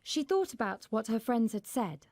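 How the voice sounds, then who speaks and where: A woman narrating a scripted children's story in an expressive storyteller's way, acting out the dialogue. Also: fairly high pitch, moderate pace, no echo.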